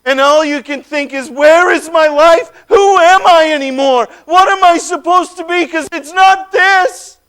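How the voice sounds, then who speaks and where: A man performing a first-person dramatic monologue as a tormented man freed from possession, swinging between hushed and shouted lines. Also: extremely high pitch, measured pace, smooth. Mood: haunted.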